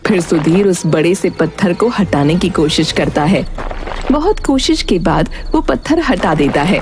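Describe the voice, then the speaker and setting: A woman narrates a children's tale from a script. Her voice sits a little low yet moves expressively, with clearly audible music underneath. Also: expressive, measured pace. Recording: prominent music behind the voice.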